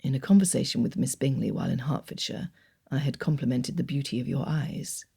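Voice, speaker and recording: A woman voicing a reserved young gentleman suitor who speaks low, hushed and earnest. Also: fairly quick, gravelly, husky, full character voice.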